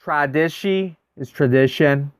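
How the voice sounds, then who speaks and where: A man's voice: a little high, unhurried, clear, resonant tone, no room sound, clean studio sound. A man, chatting casually as a livestream host, thinking aloud and answering viewers. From United States. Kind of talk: casual livestream gameplay chat.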